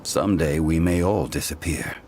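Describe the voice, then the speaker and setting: An actor playing a calm, dignified, ancient former god in a video game, speaking formally and in a measured, reflective way. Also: male voice, extremely low pitch, soft background music. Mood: reflective, wistful. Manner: formal, measured, solemn, archaic phrasing. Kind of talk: English video game dub, dramatic cutscene dialogue.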